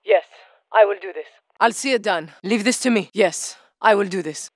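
A woman's voice: medium pitch, normal speed, dry recording, close to an everyday voice. An actress voicing a tough female special forces operator in a video game, giving clipped tactical callouts. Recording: dry studio recording.

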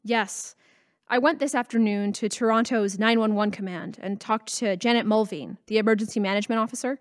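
A woman's voice: mid-range voice, fairly quick, lightly acted. Woman acting as an earnest radio co-host. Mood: earnest, concerned. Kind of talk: radio broadcast interviewing in an audio drama.

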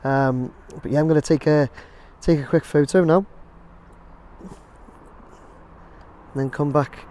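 A man's voice: on the high side, steady tone, slow pace, long pauses, warm tone. Chatty man narrating outdoors. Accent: English. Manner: rambling, chatty, addresses viewers as guys. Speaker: drone videographer. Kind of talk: casual vlog commentary. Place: outdoors near a construction site.

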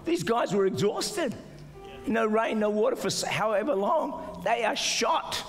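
An older man preaching a sermon as pastor, exhorting the congregation, sometimes shouting and mimicking doubters in a higher voice. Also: lively intonation, a little slow. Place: large church auditorium with stage. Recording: live church hall reverb with music. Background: soft music and congregation responses.

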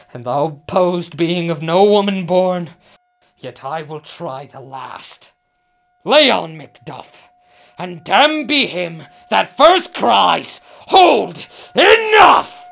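A man's voice: fairly high pitch, expressive, slow, creaky, a bit bright, heavily acted. A man playing a doomed, defiant tyrant king in a Shakespearean radio drama, declamatory and swinging between brooding and roaring.

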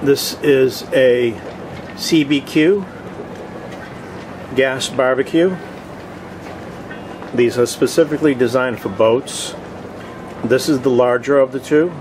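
A man giving a casual video tour in short, halting, plainspoken phrases, recorded outdoors on a boat deck with a handheld camera. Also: medium pitch. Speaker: host of a cooking vlog. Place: open deck of a boat on the water. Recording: outdoor ambient noise.

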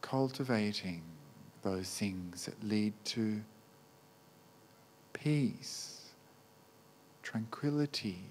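A man leads a guided meditation in a fairly low voice. He speaks very slowly and gently, setting out short phrases one at a time. Long, unhurried pauses separate his instructions. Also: expressive, a little hiss or hum, rough recording. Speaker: meditation teacher, Buddhist monk.

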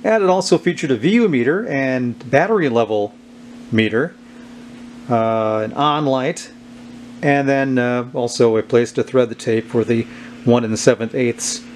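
A man hosting a casual hands-on demonstration, explaining things in an unhurried, informal way. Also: medium pitch. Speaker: host of a vintage electronics video. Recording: clear steady background noise.

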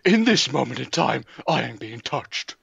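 A man's voice: mid-range voice, big pitch swings, creaky, a bit bright, husky, no room sound, highs cut off, full character voice. A man playing a pompous, mock-solemn documentary presenter in an improvised comedy sketch. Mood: solemnly impressed. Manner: grandiose, hesitant, deliberately silly.

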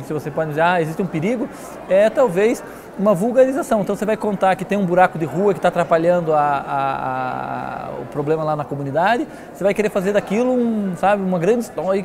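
Fast high-pitched Brazilian man, crowd murmur. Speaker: interview guest, literary newspaper editor. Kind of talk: conversational interview answers.

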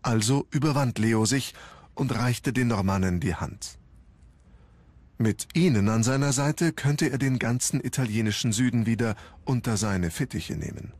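A man's voice: medium pitch, lively intonation, moderate pace, darkish tone, dry recording. A man narrating a scripted historical documentary in a storytelling style. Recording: music bed under the voice. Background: background music.

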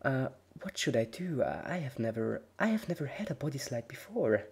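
A man voicing a flustered young female unicorn pony in a breathless, gasping falsetto. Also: very animated, normal speed, vocal fry, slightly rough, full character voice. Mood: nervous.

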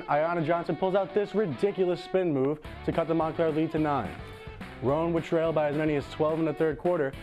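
Young man reading sports highlights over music. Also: darkish tone. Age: young adult. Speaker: student sportscaster. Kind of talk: scripted sports highlights narration. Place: TV studio. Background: faint music.

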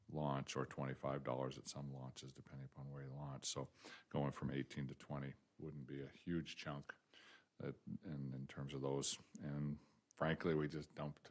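A man's voice: very deep voice, a little mellow. A man presenting proposed fee changes at a city board meeting, speaking plainly with frequent ums and restarts. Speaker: parks and recreation director presenting to a board. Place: city council meeting room.

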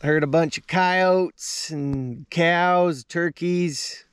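Clear-voiced, laid-back man, fairly high voice. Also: extremely slow. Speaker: camping vlogger. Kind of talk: casual vlog chat to camera. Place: small hammock tent outdoors.

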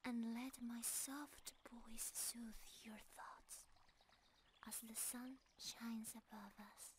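A woman voicing a gentle, loving, timeless deer-centaur forest creature in a romantic ASMR roleplay, with a soft, breathy, often whispering voice and a calm, tender manner. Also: a little high, a little hiss or hum. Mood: loving, soothing. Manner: calm, delicate, tender, unhurried.

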